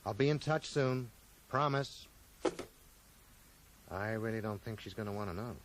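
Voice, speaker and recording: A man's voice: lowish voice, unhurried, noisy background, lightly acted. An actor playing a determined, sardonic man in a radio drama, with a dry, questioning manner. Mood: probing, gentle. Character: determined, sardonic American man probing a friend's deception.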